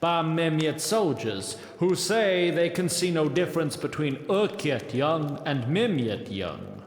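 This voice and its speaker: Pompous ancient elf lord, highish voice that swings around, echoey hall. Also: male voice, huge pitch swings, exaggerated voice. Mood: proud, disapproving. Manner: formal, haughty, deliberate oratory. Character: pompous, centuries-old male elf high councillor. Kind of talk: fantasy audio drama acting. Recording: echoey great stone hall reverb.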